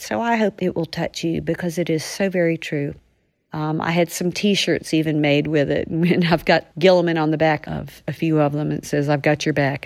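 A woman's voice: low-pitched, dry recording. A woman author talking to listeners, sharing a personal anecdote in a conversational way. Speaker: author sharing a reader story.

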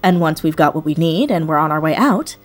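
A woman's voice: low-pitched, expressive, fairly quick, soft background music. A woman playing a confident hacker in an audio drama, speaking casually with assured, wry delivery. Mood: confident, businesslike. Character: confident, wry female hacker on a heist crew.

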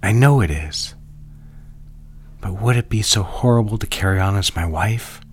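A man voices a married boss in an audio drama who steers everything with calm command. His voice is very low and smooth. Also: normal speed, a little hiss or hum, lightly acted. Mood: controlling. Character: controlling married boss, man, middle-aged. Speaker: lawyer boss.

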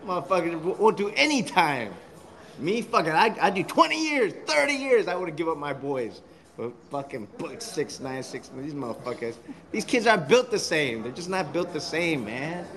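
A tipsy man rambles in brash, profane bursts. His voice sits high and bright. He sounds loose and rowdy. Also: big pitch swings, normal speed. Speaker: caller. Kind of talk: rowdy banter.

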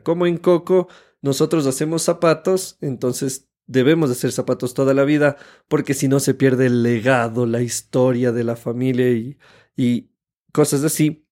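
A man's voice: mid-range voice, darkish tone. A man in his thirties with an Ecuadorian Spanish accent, co-hosting a conversational podcast. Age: 30s. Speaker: podcast co-host.

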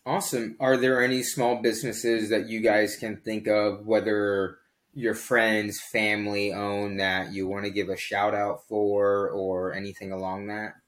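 A man's voice: fairly low pitch, little pitch movement, measured pace, fairly bright tone. A man in his early thirties co-hosting a podcast, chatting casually and rambling with fillers. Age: 30s. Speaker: podcast co-host. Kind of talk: casual chat.

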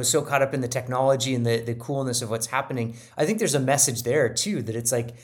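Agreeable male co-host, mid voice. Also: mid-range voice. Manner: agreeable, frequent backchannels and restarts. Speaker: podcast co-host. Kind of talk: casual analytical chat.

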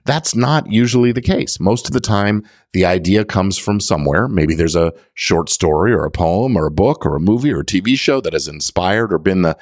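A man's voice: low voice, darkish tone, no room sound, studio quality. A middle-aged man hosting a podcast, explaining things step by step to listeners with emphasis on key words. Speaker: podcast host; lawyer.

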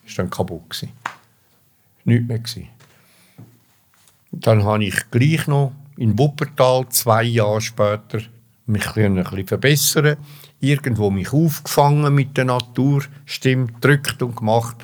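A man's voice: mid-range voice, unhurried, a little mellow. Older man with a Swiss German accent. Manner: emphatic, repeats words for stress. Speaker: interview guest telling his life story. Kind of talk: spontaneous personal storytelling.

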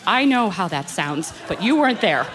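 An actress playing an anxious, haunted woman in a horror radio play, confiding in a halting, intense way. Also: medium pitch, big pitch swings, fairly bright tone, rough recording. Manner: halting, intense confessional delivery. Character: anxious, haunted woman recounting an insect terror. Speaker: actor.

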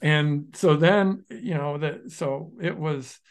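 A man co-hosting a business podcast, telling stories in a lively, restless way and restarting his sentences often. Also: a little high, slow pace. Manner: animated storyteller, restarts sentences, shifts pace often. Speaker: podcast co-host, online business founder. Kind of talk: casual podcast conversation.

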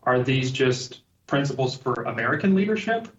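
A man's voice: medium pitch, lively intonation, moderate pace. A middle-aged man from Liberia, a podcast guest, answering thoughtfully and linking his ideas with "and so". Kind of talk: interview answers. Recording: distant, roomy, dull remote call audio.

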